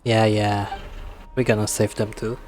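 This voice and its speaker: A man's voice: lowish voice, little pitch movement, measured pace, background noise. A man streaming a game with casual, joking commentary in short, broken reactions. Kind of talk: casual live gameplay commentary. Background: game music.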